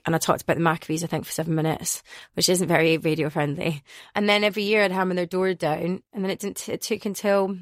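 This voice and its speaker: Scottish woman, 30s, radio DJ guest; animated, rambly storyteller; low-set voice, dry close studio sound. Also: dry recording. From Glasgow, Scotland. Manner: chatty, animated, rambling anecdotes with self-corrections. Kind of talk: casual interview storytelling.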